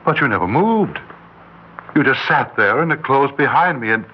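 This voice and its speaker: Brief: probing teacher in vintage radio drama, very animated intonation, muffled archival sound. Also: male voice, mid-range voice, normal speed, breathy edge. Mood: insistent, bewildered. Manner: earnest, insistent, probing. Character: earnest, suspicious male schoolteacher narrating and questioning a pupil. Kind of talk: old-time radio drama acting and narration. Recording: muffled, hissy old-time radio recording.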